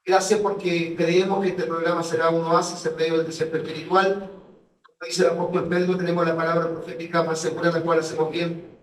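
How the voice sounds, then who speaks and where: A man from Chile, a guest evangelist pastor on a radio program, speaking and praying in a solemn, measured way. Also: fairly high pitch, little pitch movement. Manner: solemn, measured prayerful delivery. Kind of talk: radio guest talk and spoken prayer. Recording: roomy echo, voice distant from mic.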